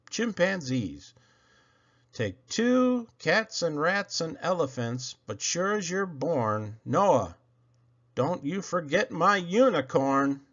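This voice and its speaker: Man acting storybook God: commanding and folksy, pitched up, swinging widely, slightly dull lo-fi recording. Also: fairly bright tone, exaggerated voice. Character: commanding, folksy God giving orders from above.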